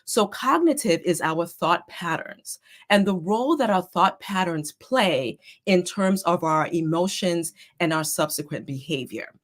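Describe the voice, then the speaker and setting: A middle-aged woman speaks as a guest presenter, her voice a little hoarse and lowish. She explains ideas in a steady, earnest way, like a therapist walking a group through a concept. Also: measured pace, few pauses. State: slightly hoarse. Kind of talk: prepared talk to an online group.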